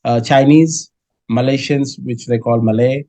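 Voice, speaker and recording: Measured Indian man, dry close sound. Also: mid-range voice, fairly even intonation, dark tone, no room sound. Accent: Indian English. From India (Kolkata). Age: middle-aged. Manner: measured, many "uh" fillers and restarts. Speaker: guest, dairy business head. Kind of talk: interview answers.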